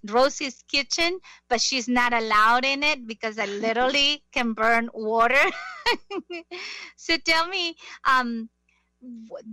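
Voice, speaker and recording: Bright-voiced chatty woman host. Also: on the high side, no room sound. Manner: chatty, self-deprecating, many fillers. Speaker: interview host. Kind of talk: casual interview chat.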